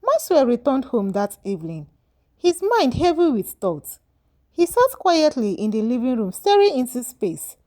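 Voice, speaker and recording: A woman's voice: sits high, sing-song, moderate pace, darkish tone. A woman narrating a scripted folktale as a storyteller, with dramatic, emphatic delivery.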